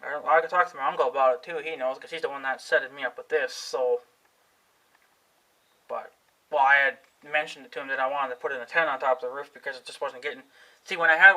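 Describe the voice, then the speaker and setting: A man's voice: mid-range voice, pushed and bright, poor audio. A young man, thinking aloud in a casual vlog, unsure of himself and swearing freely. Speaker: vlogger. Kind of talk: rambling casual talk to camera.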